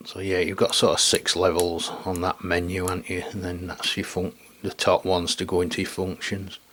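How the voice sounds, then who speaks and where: Low, flat-toned Englishman. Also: normal speed, a little hiss or hum. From England. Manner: rambling, colloquial, with tag questions. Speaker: radio reviewer and video host. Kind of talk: casual hands-on product demonstration.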